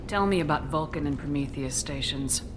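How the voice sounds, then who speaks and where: An actress playing a stern, commanding space military commander in a video game, blunt and probing. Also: female voice, sits low, fairly quick, background noise, natural voice. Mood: businesslike, probing. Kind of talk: dramatic video game dialogue acting.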